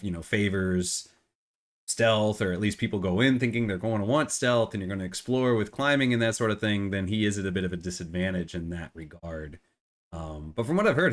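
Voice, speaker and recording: A middle-aged man with a cold and a phlegmy voice, co-hosting a casual gaming podcast and often repeating words as he restarts. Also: sits low. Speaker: podcast co-host.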